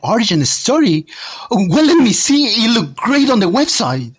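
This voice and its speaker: An eager, excitable teenage boy in an audio drama, voiced by a man. The voice is high and husky, and it swings widely as he talks. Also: unhurried, full character voice. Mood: excited.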